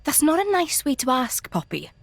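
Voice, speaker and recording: A woman's voice: high-pitched, sing-song, moderate pace, creaky, no echo, heavily acted. A woman voicing a feisty young female game character, blunt and colloquial in the way she talks. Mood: frank, probing. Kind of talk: video game character acting.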